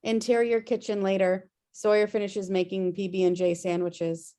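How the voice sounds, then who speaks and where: A woman's voice: medium pitch, little pitch movement, normal speed, warm tone. A woman reading screenplay stage directions as scripted narration on a video-call table read.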